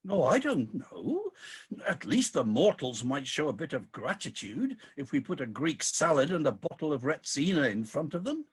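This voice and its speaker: A man's voice: fairly high pitch, big pitch swings, moderate pace, husky, full character voice. An actor playing a weary, disillusioned ageing king of the gods in a comic radio play, with a grumbling, theatrical delivery. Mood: resigned, musing.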